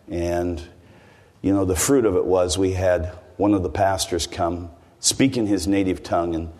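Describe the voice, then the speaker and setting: A man's voice: fairly low pitch, darkish tone. An older American man preaching to a congregation in a folksy, rambling way, turning to the listeners with asides. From United States. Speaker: visiting preacher. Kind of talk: sermon. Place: church hall. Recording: long hall reverb.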